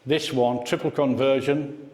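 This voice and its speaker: A man's voice: mid-range voice, steady tone, unhurried, darkish tone. A man giving an informal club talk as guest speaker, conversational and reminiscing, putting questions to his listeners. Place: indoor club meeting room. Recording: echoey hall, club meeting room.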